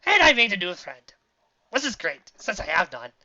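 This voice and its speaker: A woman's voice: medium pitch, sing-song, moderate pace, bright, forceful tone, voice pushed up. A woman voicing a lonely, needy male evil inventor in a cartoon story. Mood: wistful. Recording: dull lo-fi recording.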